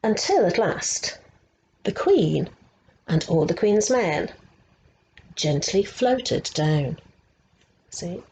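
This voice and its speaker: Lady reading a kids' picture book, slow and kind of low, asks the little ones questions. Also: creaky, slight background noise, poor audio. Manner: slow and expressive, asking the young listeners questions. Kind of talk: children's story reading.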